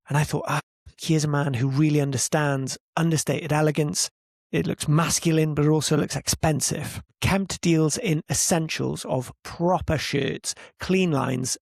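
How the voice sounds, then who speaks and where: A man in his forties hosting a podcast, reading a measured introduction and asking interview questions. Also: a little high, vocal fry, darkish tone, dry recording, studio quality. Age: 40s. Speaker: podcast host. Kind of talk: scripted intro then casual interview.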